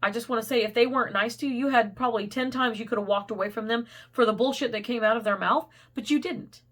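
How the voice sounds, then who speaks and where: A woman's voice: fast talker, fairly bright tone. A woman giving a casual, rambling tarot reading, laughing often and losing her voice to a cold. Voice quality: getting squeaky. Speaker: tarot reader.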